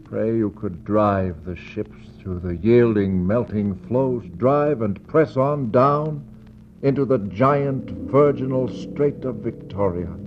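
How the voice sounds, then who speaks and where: An actor playing a solemn, intense explorer who narrates to the dead in poetic, incantatory lines, in a 1960s radio drama. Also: male voice, fairly low pitch, slow. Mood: brooding, intense. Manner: incantatory, rhetorical questioning. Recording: muffled, faintly noisy 1960s radio recording.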